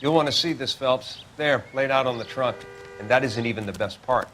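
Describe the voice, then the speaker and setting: An actor playing a dry, unflappable 1940s police coroner in a video game, speaking in a clinical way. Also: male voice, medium pitch, a little fast, noisy background, lightly acted. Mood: dry, measured.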